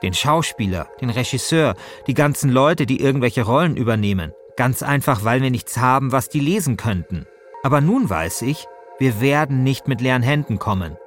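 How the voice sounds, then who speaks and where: A man's voice: medium pitch, expressive, moderate pace. A man narrates a podcast from a script in the first person, as its host. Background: music.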